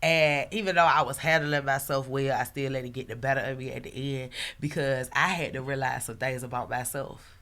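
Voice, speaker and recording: A woman with a deep voice hosting a podcast, talking earnestly and reflectively about her own mistakes, sometimes drawing words out slowly. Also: steady tone, a bit bright. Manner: earnest, reflective, self-critical storytelling with frequent laughs. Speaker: podcast host. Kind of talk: casual podcast chat.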